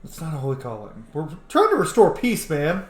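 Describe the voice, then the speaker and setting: A man's voice: fairly high pitch, huge pitch swings, fairly quick. A man giving casual, profane, joking commentary while playing a video game. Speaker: gameplay commentator. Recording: roomy room with faint noise.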